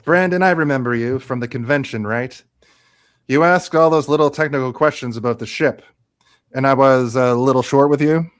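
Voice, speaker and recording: A man's voice: medium pitch, moderate pace, lightly acted. A man playing a confident actor-commander in trouble in a live radio play, speaking directly and with urgency. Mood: urgent.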